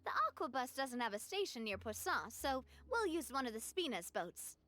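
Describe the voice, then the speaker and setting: A self-assured young heiress. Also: female voice, sits high, very animated, normal speed, a bit bright. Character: confident young woman, heiress leading a family group. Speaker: leader of a family organization. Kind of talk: game cutscene voice acting. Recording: game audio with faint music.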